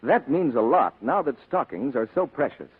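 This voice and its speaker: A man with a husky voice making a 1940s radio advertising pitch as announcer, on a tinny vintage broadcast. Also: big pitch swings, normal speed.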